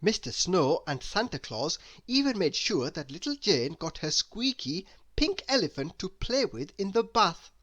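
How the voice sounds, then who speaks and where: A man reading a children's story aloud in a deliberate, expressive way, leaning on key words. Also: high voice. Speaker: storybook reader.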